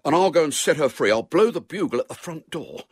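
A man's voice: fairly high pitch, expressive, fairly quick, husky, no room sound, clean studio sound, pitched above the performer's own voice. A man voicing a bold, boastful young boy playing at knights in a storybook, with blustering, melodramatic talk. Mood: defiant bluster.

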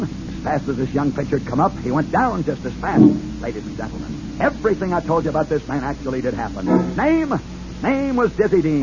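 Showman radio host, lively swooping delivery, music bed, muffled vintage sound. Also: fairly high pitch. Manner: theatrical, emphatic showman. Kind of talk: dramatic radio narration.

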